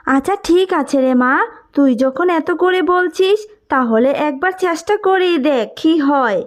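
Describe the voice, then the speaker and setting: A woman voicing a gentle, worried poor mother in a cartoon story, speaking in a plaintive, motherly way. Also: high voice, a little slow. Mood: doubtful.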